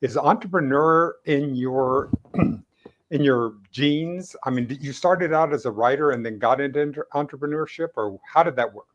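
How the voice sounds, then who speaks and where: A slightly dull, lo-fi recording of a man hosting an informal conversation. His pitch is average, and he frames things haltingly, repeating words as he goes. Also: slight background noise. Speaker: host. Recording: dull, band-limited sound.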